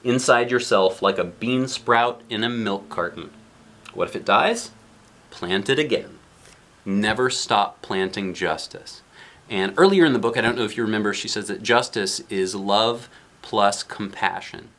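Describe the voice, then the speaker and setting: A man's voice: lowish voice, measured pace, a bit bright. A man reading a picture book aloud as a dad would, expressively, with casual asides to children and weighty emphasis on key lines.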